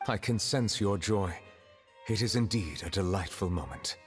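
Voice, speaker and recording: An actor playing a calm, dignified, formal gentleman in a fantasy video game, speaking in a measured way. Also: low-pitched. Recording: game audio with hall reverb and music.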